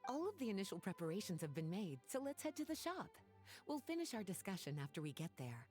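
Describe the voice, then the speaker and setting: Poised game sorceress played by a woman, teasing and polished, lively melodic delivery, light music behind. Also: medium pitch, fast, natural voice. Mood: pleased. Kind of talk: video game character acting.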